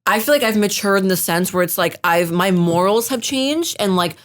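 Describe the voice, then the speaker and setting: A woman in her twenties co-hosting a podcast, giving opinions in a quick, casual way. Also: mid-range voice, a bit bright. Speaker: podcast co-host. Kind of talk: casual chat.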